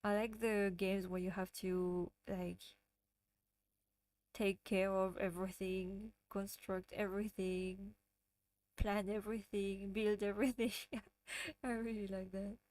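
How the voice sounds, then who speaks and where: French-accented woman chatting slowly. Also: medium pitch, flat delivery, a little mellow, no echo. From France. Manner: chatty, giggly, answers viewer chat. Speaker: livestream host. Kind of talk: casual livestream chat.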